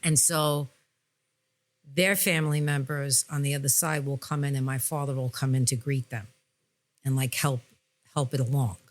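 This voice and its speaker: A woman's voice: a bass voice, steady tone, moderate pace, long pauses. Female podcast host and medium, conversational and frank, plain-spoken with emphatic delivery. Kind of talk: candid conversational podcast talk.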